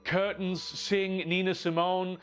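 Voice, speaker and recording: A man's voice: high-pitched, flat delivery, slow pace, fairly bright tone, smooth. A man narrating a scripted lore video with wry, dramatic storytelling.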